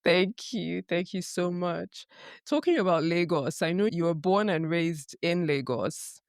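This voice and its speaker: A woman hosting a business interview podcast, reading a measured scripted intro and then chatting in a friendly, easy way with her guest. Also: on the low side, no room sound. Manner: measured, friendly questioning with light laughs. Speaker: podcast host. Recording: dry close sound with faint music under intro.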